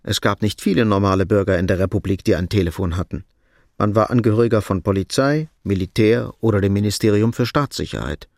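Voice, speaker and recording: A man narrating a crime radio drama in a measured, matter-of-fact scripted style. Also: lowish voice, darkish tone, dry recording, studio quality, lightly acted.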